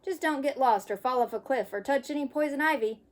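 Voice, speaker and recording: A woman's voice: high-pitched, fairly quick, pitched above the performer's own voice, natural voice. A woman voicing a cheerful, fussing mother in a children's picture book read-aloud, with motherly reassurance. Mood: brisk.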